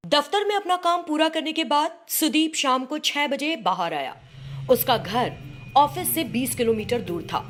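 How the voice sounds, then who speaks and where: A woman's voice: high voice, lively intonation, measured pace, slight background noise. A woman storyteller reading scripted story narration. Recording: loud music behind voice.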